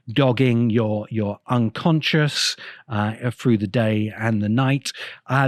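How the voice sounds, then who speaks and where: A man hosting a podcast, delivering a reflective monologue in a grave, deliberate way with emphatic phrasing. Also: mid-range voice, dry recording, clean studio sound. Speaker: podcast host. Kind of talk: reflective monologue, video essay.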